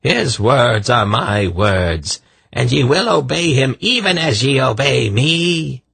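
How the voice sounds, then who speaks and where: A man voicing a deranged, imperious self-proclaimed god in a shrill voice, declaiming archaic commands for a fantasy storybook. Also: expressive, slow, vocal fry, fairly bright tone, husky, dry recording, exaggerated voice.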